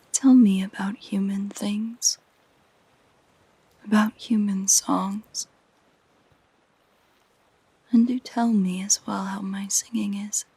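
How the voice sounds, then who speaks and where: Siren for audio roleplay, female performer: lonely, possessive, soft whispery delivery, creaky edges, unhurried and intimate. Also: mid-range voice, dry recording, full character voice, poor audio. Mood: possessive, coaxing. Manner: slow, gentle, intimate. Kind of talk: roleplay audio drama for a listener.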